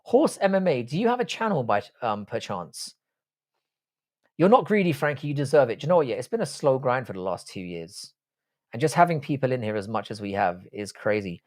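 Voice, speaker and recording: A man's voice: mid-range voice, sing-song, brisk. A man in his forties with a South London accent, hosting a casual live stream, rambling, swearing freely and answering viewers' chat. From South London, England. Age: 40s.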